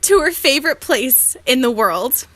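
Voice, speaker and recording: A woman's voice: high voice, animated, a little slow, fairly bright tone. A young woman co-hosting a podcast in casual comedic chat, giggly and prone to laughing as she talks or reads aloud. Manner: giggly, breaks into laughter while talking. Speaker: podcast co-host.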